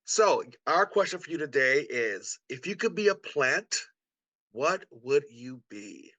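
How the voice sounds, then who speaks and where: A man's voice: a little high, big pitch swings, unhurried, sharp tone, no echo. A man hosting an online event in an effusive, encouraging manner. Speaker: event host. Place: indoors on a video call.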